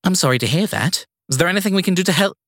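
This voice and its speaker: A man's voice: high-pitched, expressive, rapid-fire, a bit bright, no room sound, studio quality, pitched above the performer's own voice, full character voice. A man voicing a cheery, solicitous guesthouse landlady, courteous and apologetic, in an audiobook story. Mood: helpful.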